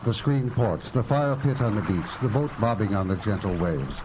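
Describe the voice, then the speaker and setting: A man's voice: fairly low pitch, expressive, moderate pace, noisy background, close to an everyday voice. An actor playing a suspenseful storyteller, a man narrating a scripted radio mystery. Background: music.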